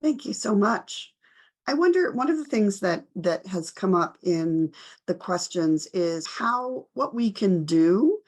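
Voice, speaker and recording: A woman's voice: medium pitch, expressive, slow, a little mellow. A woman moderating an online panel, reflective and appreciative as she thanks speakers and reads out questions.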